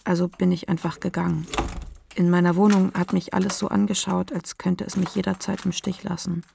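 A woman playing a fragile but determined feminist activist in a radio-play monologue, measured and dryly sardonic, with a gravelly, husky voice. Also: lowish voice, flat delivery, noisy background. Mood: fragile, relieved.

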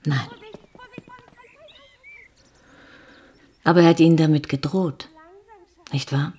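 An actress playing a composed, probing police detective in a TV crime drama, with measured, insistent questions. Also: female voice, sits low, steady tone, darkish tone, slightly rough. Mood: accusing. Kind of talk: naturalistic German TV drama dialogue.

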